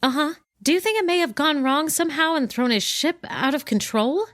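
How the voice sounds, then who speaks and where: A worried loyal heroine. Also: female voice, sits high, animated, brisk, fairly bright tone, dry recording, clean studio sound. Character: loyal anxious young woman Wilma, sidekick.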